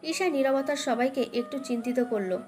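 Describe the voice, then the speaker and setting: A woman's voice: high-pitched, moderate pace, a little mellow. A woman narrating a dramatic romance story as a scripted audio tale. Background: background music.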